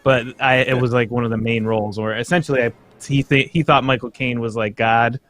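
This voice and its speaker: A young man talking casually as a guest, relaxed and halting, with many pauses and fillers. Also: mid-range voice, faint music behind. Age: young adult. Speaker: streamer and guest reader. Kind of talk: casual chat.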